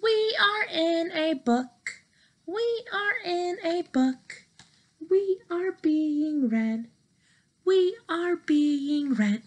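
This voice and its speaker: A young woman reading a children's picture book aloud in an expressive, dramatic and playful manner. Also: very high voice, very slow, a little hiss or hum. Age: young adult. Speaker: storybook reader. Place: small bedroom, indoors.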